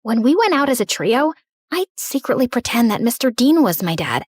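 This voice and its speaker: A woman's voice: a little high, big pitch swings, moderate pace, husky, no room sound, clean studio sound. A young woman playing an earnest teenage girl who narrates her own story in a dramatic, scripted style. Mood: wistful. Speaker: narrator of animated stories.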